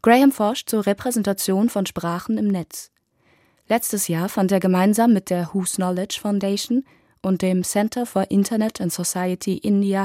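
Woman, reporter, scripted narration, mellow, dry sound. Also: mid-range voice, no echo. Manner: even, clear reading.

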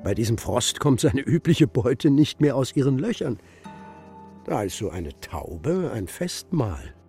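A stern old grandfather in a children's radio drama. He talks in short, commanding phrases, gruff in manner, his medium-pitched voice moving very freely up and down. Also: sing-song, unhurried, warm tone, faint music behind. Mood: solemn. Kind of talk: German children's radio play acting.

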